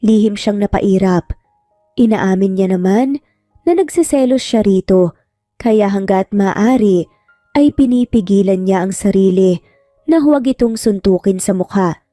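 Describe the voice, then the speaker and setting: A woman's voice: mid-range voice, a little slow, warm tone, dry recording, clean studio sound. A woman reading a scripted romantic drama as a storyteller. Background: music.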